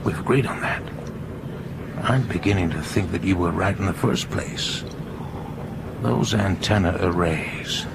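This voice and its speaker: Low, gravelly, suspicious male passenger. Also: a little slow, pauses often. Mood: hushed, suspicious. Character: thoughtful, suspicious male passenger plotting quietly. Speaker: actor in a radio drama. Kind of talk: radio drama acting, hushed conspiratorial dialogue. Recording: loud rumbling background noise.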